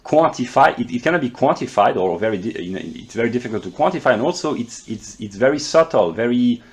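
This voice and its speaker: Frenchman talking halting philosophy in English. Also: medium pitch, lively intonation. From France. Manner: halting, stammers and repeats words while searching for terms; measured, explanatory, professorial. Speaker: philosophy commentator. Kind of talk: unscripted philosophical monologue.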